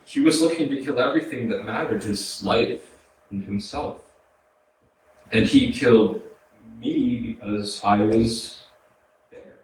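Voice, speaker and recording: A man's voice: mid-range voice, takes it slowly, pauses often, darkish tone, close to an everyday voice. A man in a staged play reading, acting a murdered victim bleakly recounting his own death. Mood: somber, bleak. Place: arts center stage, indoors, recorded from afar. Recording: very echoey hall, distant mic, background noise.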